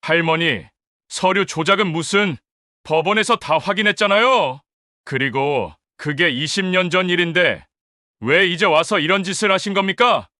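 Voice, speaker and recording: A woman's voice: on the low side, expressive, moderate pace, bright, forceful tone, no echo, clean studio sound. A woman doing a grieving middle-aged father. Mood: earnest. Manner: earnest, formally polite. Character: grieving middle-aged son, steady and earnest. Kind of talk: audio drama acting.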